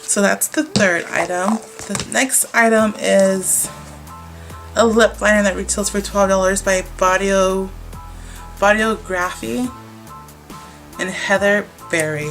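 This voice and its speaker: A woman's voice: mid-range voice, fairly even intonation, takes it slowly, a bit bright, soft background music. A young woman vlogger with a congested, stuffy voice from a cold, chatting casually and rambling with lots of "like" and "um" as she unboxes beauty products. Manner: chatty, rambling, many likes and ums.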